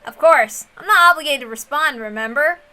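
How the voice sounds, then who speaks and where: A woman's voice: high-pitched, lively intonation, fairly quick, bright, forceful tone, voice pushed up. A woman voicing a stubborn, wary young man in a visual novel reading, curt and grudging in manner. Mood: defiant.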